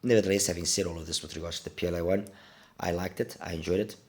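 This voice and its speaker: Deep-voiced man reviewing gear with a cold. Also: steady tone, rapid-fire. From Portugal. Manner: hesitant, repeats words for emphasis. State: congested with a cold. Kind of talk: casual product review.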